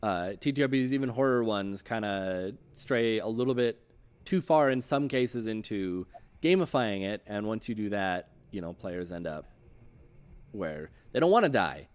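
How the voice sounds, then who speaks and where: Low, clear-voiced man hosting casually. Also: lively intonation, normal speed. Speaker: show host interviewing a game designer. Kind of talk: casual interview chat.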